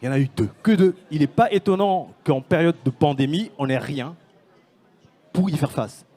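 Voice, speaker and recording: A man's voice: on the high side, moderate pace, mellow. A man from Senegal, a startup founder, speaking on a conference panel with many fillers and restarts. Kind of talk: panel talk.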